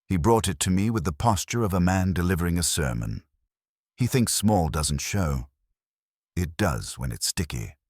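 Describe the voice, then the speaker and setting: A man's voice: sits low, lively intonation, normal speed, darkish tone, dry recording, studio quality. A man narrating a scripted first-person detective story.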